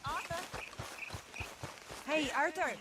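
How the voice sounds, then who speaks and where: A woman's voice: high-pitched, slow pace, noisy background. A woman playing a lovelorn, anxious young woman, hesitant and earnest, in a video game cutscene.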